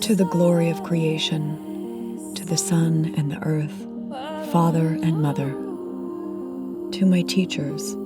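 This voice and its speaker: A woman narrator reading a scripted fairy tale in a measured storybook delivery, with phrase endings falling to a hush. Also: sits low. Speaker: audiobook narrator. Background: music.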